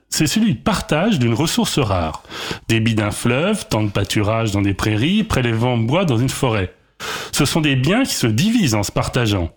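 A man's voice: medium pitch, breathy edge. A man delivering a prepared radio column in formal, explanatory phrasing.

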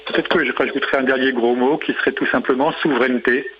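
A man's voice: mid-range voice, steady tone, fast, background noise. A man speaking as a guest in a radio interview, explaining methodically and building his points in lists. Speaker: interview guest, local government digital official. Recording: slightly dull, lo-fi radio sound.

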